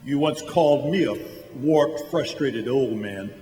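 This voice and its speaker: A man's voice: mid-range voice, dark tone, a little hiss or hum, natural voice. Man playing a cold old miser, echoey hall. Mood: scornful. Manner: sneering, interrogating, deliberate. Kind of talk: stage radio drama acting. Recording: echoey hall stage recording, faint music.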